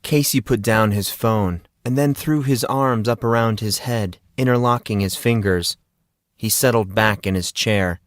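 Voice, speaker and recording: A man's voice: on the low side, unhurried, no room sound. A man narrating scripted fiction as an audiobook reader.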